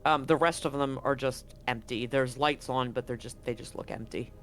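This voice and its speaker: A man narrating as game master, describing scenes in a halting way with frequent ums and restarts. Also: mid-range voice, fairly even intonation, a bit bright.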